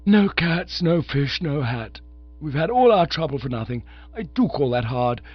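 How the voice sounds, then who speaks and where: Man doing a posh young undergrad, higher and lively, "you know" every line, muffled recording. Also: moderate pace, breathy edge, no room sound. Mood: breezy, chatty. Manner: rattling, chummy, full of "you know". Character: breezy, chattering young male Oxford student.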